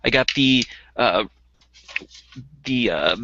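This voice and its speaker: Bright-voiced man chatting on a podcast. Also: medium pitch, lively intonation, slow, creaky, no room sound. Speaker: co-host of a toy collecting podcast. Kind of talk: casual chat. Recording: dull, muffled lo-fi audio.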